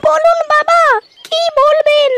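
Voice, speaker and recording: A woman voicing a meek, respectful young daughter-in-law in a comedy cartoon, with a pitched-up voice. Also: flat delivery, takes it slowly, a bit bright, faint music behind, full character voice. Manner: deferential, polite.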